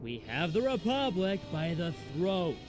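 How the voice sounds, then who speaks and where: A man voicing an ambitious, persuasive pirate warlord in a comic dub, commanding and smooth-talking. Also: high voice, sing-song, unhurried, music in the background.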